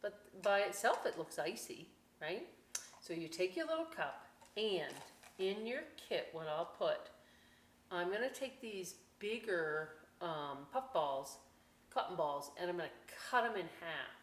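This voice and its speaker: Slow-talking woman in an echoey room. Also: on the low side, expressive. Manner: folksy, playful, chatty asides to young viewers. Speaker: library story time host. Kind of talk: children's read-aloud and craft demonstration. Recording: echoey room, some background noise.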